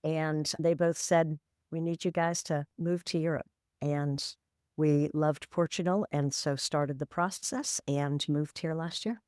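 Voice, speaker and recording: A woman in her sixties tells her story as a podcast guest. Her voice sits low and sounds smooth and resonant. She speaks in a candid, wry, matter-of-fact way, and the recording is dry and close. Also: steady tone, a little slow, a little mellow, no room sound. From San Francisco, USA. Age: 60s. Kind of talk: reflective storytelling interview.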